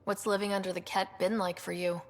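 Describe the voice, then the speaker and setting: A woman's voice: mid-range voice, flat delivery, brisk, big-room sound, lightly acted. An actress playing a confident, direct young female space explorer in a video game, frank and plainspoken. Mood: curious, frank. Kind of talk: video game cutscene acting.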